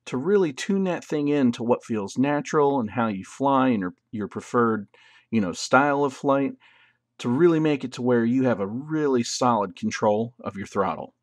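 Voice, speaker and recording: A man's voice: medium pitch, expressive, normal speed, dry recording. A man hosting a drone tutorial, explaining settings in a casual, loose way as a voice-over. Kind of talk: instructional voice-over.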